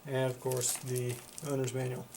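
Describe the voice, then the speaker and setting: A man's voice: medium pitch, completely flat delivery, moderate pace, background noise. A man giving a casual product demonstration as a reviewer, plain-spoken and matter-of-fact. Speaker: product reviewer for a CB radio shop.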